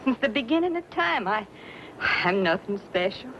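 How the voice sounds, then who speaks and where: An actress playing a plucky, gently teasing pioneer wife with a plainspoken, soothing manner in an old time radio western. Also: female voice, on the high side, big pitch swings, normal speed. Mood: reassuring. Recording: dull, noisy old radio recording.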